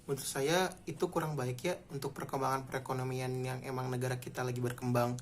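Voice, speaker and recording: Young man, twenties, orderly, point-by-point answers, mid pitch. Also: little pitch movement, fast, background noise. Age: 20s. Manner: structured, lists points in order. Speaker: interviewee, private-sector hospital employee. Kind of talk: casual spoken answers.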